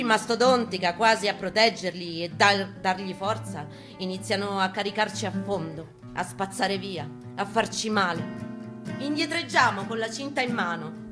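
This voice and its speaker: A woman gives a dramatic first-person story reading, theatrical in delivery, moving between urgent and hushed phrasing. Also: lowish voice. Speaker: performer reading a story at a live reading. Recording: acoustic guitar playing behind the voice. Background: plucked guitar accompaniment.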